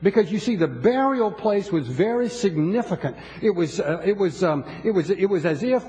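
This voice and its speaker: A man's voice: high-pitched, animated, normal speed, mellow, long reverb tail, muffled recording, soft background music. A man preaching a sermon to his congregation in a church, emphatic and repeating his words as he talks to them. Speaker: pastor.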